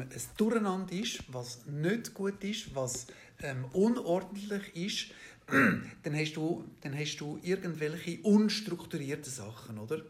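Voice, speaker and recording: A man with a Swiss German accent, answering an interview question at length. His voice sits a bit high for a man. He talks in a halting way, with lots of fillers and restarts, and keeps checking that his listeners follow. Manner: ends points with a questioning "oder?". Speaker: interview guest. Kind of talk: explaining in an interview.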